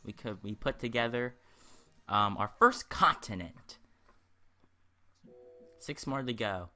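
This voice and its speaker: Bright-voiced man rambling through game commentary. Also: medium pitch, animated, slow. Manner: rambling, hesitant, repeats himself. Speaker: gaming video host. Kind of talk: casual game walkthrough commentary.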